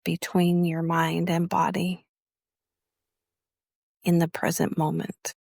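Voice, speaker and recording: A woman teaching guided meditation in a measured, instructional way, leaving long pauses between phrases. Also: fairly low pitch, completely flat delivery, gravelly, darkish tone, no room sound, clean studio sound.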